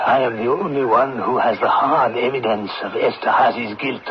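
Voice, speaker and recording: An actor playing a troubled, earnest French army officer in a radio drama, speaking gravely and a little haltingly. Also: male voice, medium pitch, a loud crowd, natural voice. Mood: shaken, determined. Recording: dull, noisy old radio recording.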